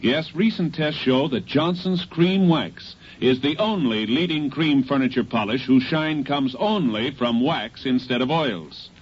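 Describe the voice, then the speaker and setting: A man's voice: mid-range voice, expressive, unhurried, few pauses, breathy edge. An American man, a polished, persuasive announcer reading advertising on mid-century radio. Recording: muffled vintage radio recording.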